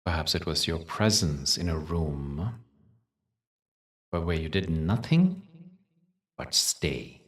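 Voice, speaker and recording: A man leading a guided meditation in a soothing, measured way, leaving long pauses between short phrases. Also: very deep voice, very animated, a bit bright, distant mic. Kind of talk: guided meditation with spoken teaching.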